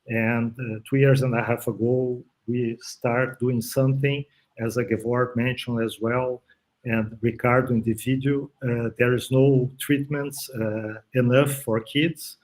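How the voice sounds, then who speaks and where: A man's voice: mid-range voice, rough recording. Hesitant man. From Brazil. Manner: hesitant, many fillers and repeats. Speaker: parent of a child with cancer, panel guest. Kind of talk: live stream panel talk.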